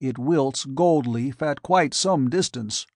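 A man voicing a cautious hospital physician who speaks in a hurried, apologetic way. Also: mid-range voice, a little mellow, no echo, studio quality. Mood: worried.

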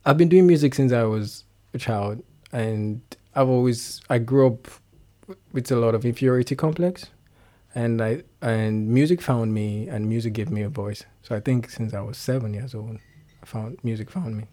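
A man's voice: mid-range voice, mellow. Laughing Nigerian man, young adult. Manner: laughs often, halting restarts. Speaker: guest musician. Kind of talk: casual interview chat.

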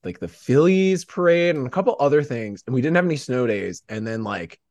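A man in casual podcast chat, excitable and slangy, given to hyperbole. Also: medium pitch, brisk, no echo.

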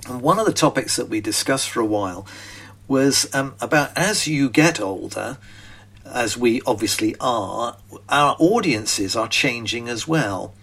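A man's voice: medium pitch, lively intonation, measured pace, fairly bright tone, husky. Older British man, rambling podcast chat. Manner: rambling, chatty, frequent ums and restarts. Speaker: podcast host, magician. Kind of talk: casual spoken monologue.

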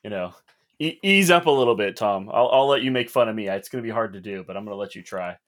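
A man's voice: on the low side, lively intonation, fast, a bit bright. A man co-hosting a casual podcast, chatty and rambling, teasing his friends. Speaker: podcast co-host.

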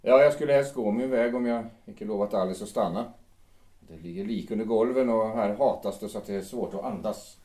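A man's voice: on the low side, natural voice. A man playing an earnest, devout visitor. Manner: measured and gentle, stammering when alarmed. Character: earnest, devout male visitor, calm and probing. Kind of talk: audio drama acting. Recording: roomy echo.

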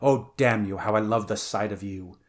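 A man's voice: lowish voice, normal speed, close to an everyday voice. A man voicing a powerful, vengeful barbarian swordsman in a dramatic story reading, in a ranting, cursing manner. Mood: furious, gloating. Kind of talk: dramatic audiobook character reading.

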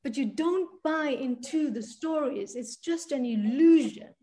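Earnest high-voiced woman coach, mellow tone. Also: a little slow. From South Africa. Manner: emphatic, earnest, often adds "right?". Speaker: money and spiritual coach, guest. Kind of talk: conversational interview.

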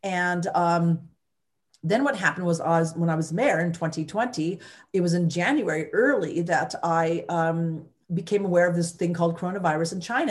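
A woman, a former mayor, telling her story in an interview with emphatic energy and many restarts. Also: fairly low pitch.

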